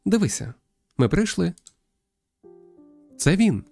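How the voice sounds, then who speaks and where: A man's voice: high voice, sing-song, takes it slowly, creaky, a little mellow, faint music behind, voice pushed up, exaggerated voice. A man voicing a spirited, proud schoolgirl who plays basketball, in eager visual novel dialogue.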